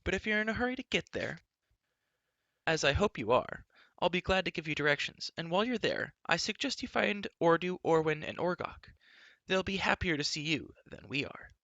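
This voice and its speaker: A man voicing a spirited, sharp-tongued young woman who scolds with quick, mocking retorts. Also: a little high, big pitch swings, vocal fry, dry recording, muffled recording, pitched above the performer's own voice, full character voice.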